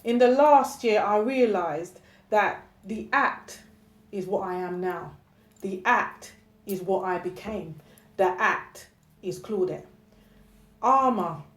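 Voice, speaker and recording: A woman's voice: mid-range voice, slow. Candid woman poet, echoey room. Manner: candid, emphatic, with frequent "you know" and check-in questions. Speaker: poet discussing her own work. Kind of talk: reflective, conversational personal talk. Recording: roomy, echoey sound.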